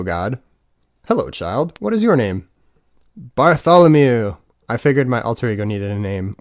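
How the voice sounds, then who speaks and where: A man's voice: lowish voice, darkish tone, dry recording, studio quality. A man in his twenties reading his own audiobook in a wry, self-mocking manner. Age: 20s. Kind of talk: scripted audiobook narration.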